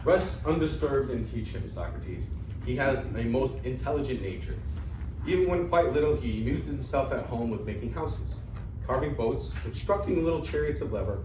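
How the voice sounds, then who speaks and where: A man's voice: mid-range voice, lively intonation, moderate pace, lightly acted. Guy acting a gullible bossy old greek dad, emphatic stage reading, echoey and kinda far from the mic. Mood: proud pleading. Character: aging, gullible, pushy Greek father, eager and scolding. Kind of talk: amateur dramatic reading of ancient comedy. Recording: echoey room, distant mic, faint noise.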